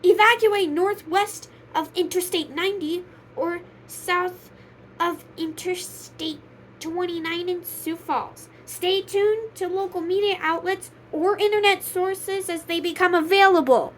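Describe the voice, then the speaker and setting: A woman's voice: extremely high pitch, fairly even intonation, measured pace, a little hiss or hum, low-quality recording. A woman reading a scripted emergency broadcast as a formal announcer.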